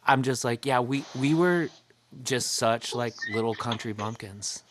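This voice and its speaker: Man, from Florida, podcast host, rambling casual chat, stumbling restarts, mid pitch. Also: a little slow, a loud crowd. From Florida, United States. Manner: rambling, stumbling over words.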